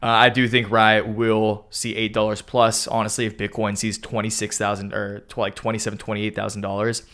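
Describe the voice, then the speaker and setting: A young man hosting a stock market video, talking casually through charts with a hoarse voice, often clearing his throat. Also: on the low side, flat delivery, a little fast, a bit bright. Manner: keeps clearing his throat, upbeat and hype-driven. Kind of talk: casual chart analysis talk.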